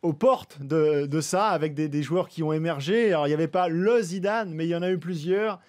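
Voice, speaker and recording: A man giving a conversational sports recap in a radio studio, with halting, hesitant phrasing. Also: fairly high pitch, a little mellow. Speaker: sports journalist.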